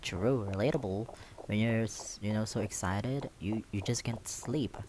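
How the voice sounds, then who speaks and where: A man's voice: lowish voice, unhurried, noisy background. A man doing a casual visual novel let's play, reading aloud and reacting with cheeky, playful asides and often repeating himself. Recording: music playing behind the voice. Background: music.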